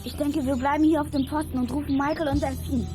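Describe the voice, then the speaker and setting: A woman playing a bold, alert child detective in a children's audio drama, calling out briskly. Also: high voice, fairly even intonation, over music, natural voice. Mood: commanding. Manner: brisk radio-call delivery. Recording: noisy outdoor ambience behind voice.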